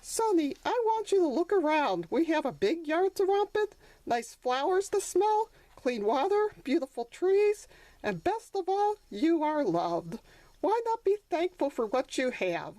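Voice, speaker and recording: Casting: reassuring mama donkey for a children's storybook, raised pitch, tender manner woman performer. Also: big pitch swings, a little slow, dark tone, no echo, poor audio. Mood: tender, encouraging. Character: gentle, reassuring mother donkey.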